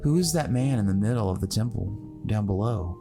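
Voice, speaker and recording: A man's voice: on the low side, lively intonation, brisk, music in the background. A man voicing a frightened, awed sixteen-year-old boy in a fantasy story. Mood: awed, uncertain.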